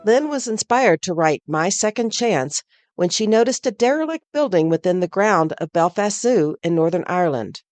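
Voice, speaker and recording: A woman's voice: fairly low pitch, animated, normal speed, darkish tone, no echo, faint music behind. A woman who is an author gives a friendly, scripted welcome and introduces the story that follows.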